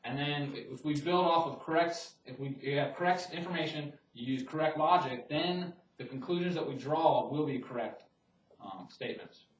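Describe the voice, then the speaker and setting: A man's voice: fairly high pitch, normal speed, slight background noise. Man lecturing math in a roomy classroom. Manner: conversational, many restarts and fillers. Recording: roomy classroom audio from a distant mic.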